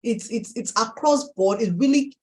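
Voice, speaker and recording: A woman with a Nigerian accent hosting a live interview, affirming her guest warmly and laughing often. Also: fairly high pitch, moderate pace. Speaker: host.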